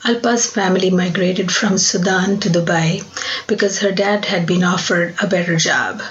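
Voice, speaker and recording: A woman hosting a podcast, reading scripted narration and asking interview questions in a measured way. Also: fairly low pitch, fairly even intonation, muffled recording. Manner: measured, clearly read narration. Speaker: podcast host.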